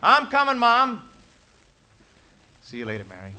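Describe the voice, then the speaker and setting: An actor playing an earnest, reassuring young son in a 1950s radio drama. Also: male voice, very high voice, wildly swooping pitch, a little slow, pushed and bright, heavily acted, poor audio. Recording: vintage 1950s radio broadcast. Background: orchestral music.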